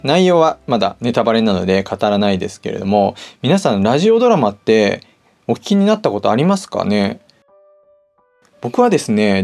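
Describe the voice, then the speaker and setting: A man's voice: mid-range voice, vocal fry, darkish tone. A man hosting a podcast, talking casually and politely in a conversational way. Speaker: podcast host. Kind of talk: casual solo talk.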